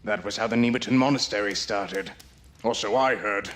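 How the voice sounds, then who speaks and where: Guy doing a low, measured old caretaker, ex-sailor, kinda cagey. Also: fairly bright tone, close to an everyday voice. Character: gruff older male caretaker, former sailor. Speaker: game character.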